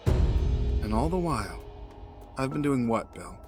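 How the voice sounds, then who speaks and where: Audio drama male actor: grieving man out for vengeance, mid-pitched, accusing, sardonic, scored with music. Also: big pitch swings, slow. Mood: bitter accusation. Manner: menacing, sarcastic, accusing. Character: grieving, vengeful, cold-hearted man confronting a betrayer. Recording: music under the voice.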